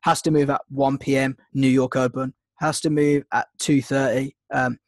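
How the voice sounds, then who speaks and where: A man's voice: medium pitch, flat delivery, moderate pace, no room sound, clean studio sound. A man with a slight cold, casually teaching a trading webinar, rambling with lots of ums and restarts. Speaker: trading mentor hosting a webinar. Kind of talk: casual screen-share teaching.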